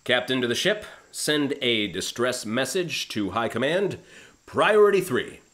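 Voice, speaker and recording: A pompous starship captain , played by a man, with a mid-pitched voice that swings widely up and down. He is sarcastic, dismissive and easily exasperated, in comic radio play style. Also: lively intonation, measured pace, fairly bright tone, slight background noise. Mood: sarcastic, impatient.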